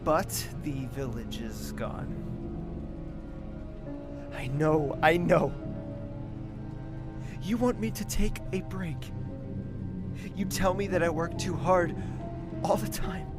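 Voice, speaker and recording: A man's voice: fairly high pitch, takes it slowly, long pauses. A man playing a grieving, bitter young prince in an audio drama, brooding and intense. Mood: weary, defensive. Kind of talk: audio drama acting with narration. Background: music.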